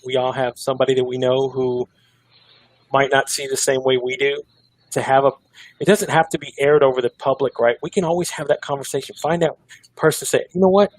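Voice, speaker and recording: A man's voice: mid-range voice, steady tone, brisk, no room sound, low-quality recording. Encouraging male host, dry close sound. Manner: encouraging, conversational. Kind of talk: roundtable discussion.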